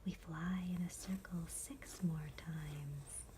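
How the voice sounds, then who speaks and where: A woman voicing contented, routine-bound butterflies in a measured whisper for an ASMR bedtime storybook. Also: low-pitched, steady tone, noisy background. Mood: placid, content. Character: contented, set-in-their-ways butterflies, gentle and routine-bound.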